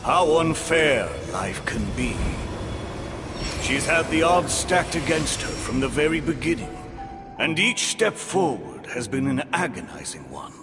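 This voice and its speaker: Brooding male game monologuist; slow, solemn, theatrical delivery, set in cavernous reverb. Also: a little high, sing-song. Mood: grave, poetic. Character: theatrical male narrator reflecting solemnly on suffering and flight. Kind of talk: dramatic scripted monologue. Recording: long hall reverb, loud music underneath.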